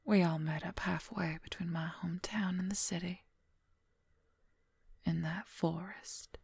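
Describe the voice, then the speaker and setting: A woman performs as a possessive werewolf woman in an ASMR roleplay. She whispers slowly with a very husky, breathy voice, intimate and wry. The sound is close and dry. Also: on the low side, fairly even intonation, a little mellow, no room sound, heavily acted. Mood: reflective. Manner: slow, intimate, confiding. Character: possessive female werewolf, former lawyer, wry intimate lover. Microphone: close microphone, dry.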